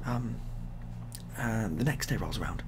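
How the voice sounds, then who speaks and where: A man telling improvised stories in a soft-spoken ASMR style, with a rambling, repetitive, deadpan delivery. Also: on the low side, a little slow. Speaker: ASMR storyteller. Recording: clearly audible background noise.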